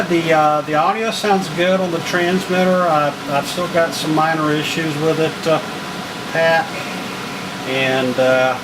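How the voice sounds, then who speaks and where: Loud noise surrounds a slow-talking man at a ham radio station. He speaks casually and haltingly, sounding distracted as he checks in. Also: mid-range voice. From United States. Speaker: amateur radio operator. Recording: very noisy radio room recording.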